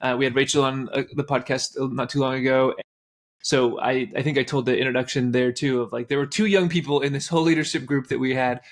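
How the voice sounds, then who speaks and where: A man's voice: medium pitch, steady tone, fast. A man co-hosting a faith podcast, introducing his guest in a friendly, self-deprecating, rambling way. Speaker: podcast co-host. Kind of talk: casual interview hosting.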